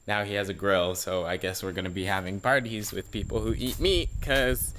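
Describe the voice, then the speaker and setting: A teenage boy, a high school senior, chatting in a rambling way in a casual video diary at home and laughing at himself. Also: normal speed, fairly bright tone, slight background noise. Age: teens. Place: at home, partly outdoors on a deck. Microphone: handheld camera.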